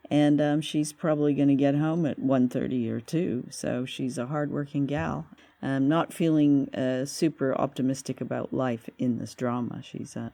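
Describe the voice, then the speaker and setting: A man from Vancouver with a Canadian accent, hosting a conversational review show in a measured, slightly hesitant way. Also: mid-range voice, gravelly, warm tone, no room sound. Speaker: podcast host. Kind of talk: conversational radio drama review.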